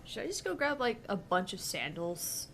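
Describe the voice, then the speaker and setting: A woman's voice: medium pitch, big pitch swings, normal speed, a bit bright, slight background noise. A woman co-hosting a gaming show, reading a visual novel aloud and commenting casually.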